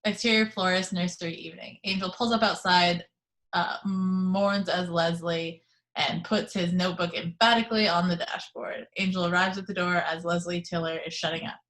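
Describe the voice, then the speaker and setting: Brief: female stage-direction reader, even, matter-of-fact, home video-call mic. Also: lowish voice, moderate pace. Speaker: reader of the action description. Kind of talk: reading stage directions aloud. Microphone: video call microphone at home.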